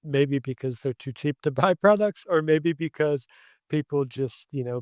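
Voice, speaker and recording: A man's voice: mid-range voice, no echo. A man hosting an interview who asks questions in a casual, conversational way. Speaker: interview host.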